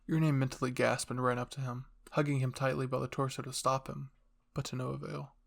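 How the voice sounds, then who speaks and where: A man reads fan fiction as a scripted story. His voice sits at a medium pitch, and he speaks as narrator and channel host. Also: flat delivery, brisk, vocal fry.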